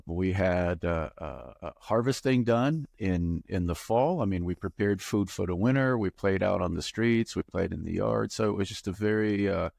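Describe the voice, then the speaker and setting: A man in his fifties from Bulgaria, a startup founder telling his life story as an interview guest, reflective and pausing often with fillers. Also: low-pitched, vocal fry, a little mellow, dry recording, clean studio sound. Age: 50s. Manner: reflective, hesitant with many fillers.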